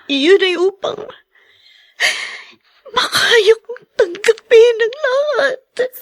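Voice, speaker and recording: A woman's voice: very high voice, very animated, very slow, exaggerated voice. An actress playing a grieving young mother and long-suffering wife in a radio drama, tearful and pleading. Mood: sorrowful. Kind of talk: 1980s radio drama acting.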